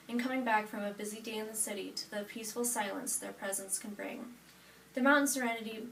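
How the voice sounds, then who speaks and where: A young American woman, about eighteen, giving a prepared personal narrative speech from notes. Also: medium pitch. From United States, Nebraska. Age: 18, young adult. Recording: echoey room, distant mic, faint noise.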